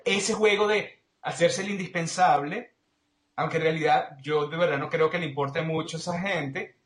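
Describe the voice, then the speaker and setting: Male interview guest, Venezuelan accent, slightly high voice, analytical and explanatory, recorded with marked room echo. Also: a bit bright. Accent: Venezuelan Spanish. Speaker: interview guest, political commentator.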